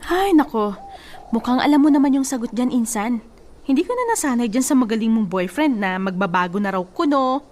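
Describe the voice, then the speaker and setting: A woman's voice: a little high, lively intonation, fairly quick, darkish tone, slight background noise, natural voice. A woman acting a blunt, exasperated young female cousin who scolds and talks in a sassy, colloquial way in a Tagalog radio drama. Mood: nosy, goading.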